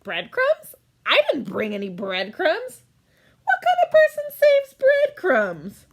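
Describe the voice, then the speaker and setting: A woman plays a naive little boy from a children's storybook. The voice is very high and swings up and down in plaintive, childlike questions, anxious and easily upset. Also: huge pitch swings, slow, heavily acted. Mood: indignant.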